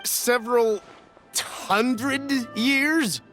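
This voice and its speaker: A man's voice: very high voice, drawn-out words, a bit bright, faint music behind, heavily acted. A man voicing a polite, wary, easily flustered merchant in a video game, nervous and deferential. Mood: doubtful.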